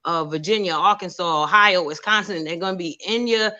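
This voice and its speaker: A young woman, an actress guest in a casual interview, telling stories lively and acting out quoted voices. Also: on the low side, brisk, dull audio. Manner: animated storyteller, acts out quoted voices, laughs often.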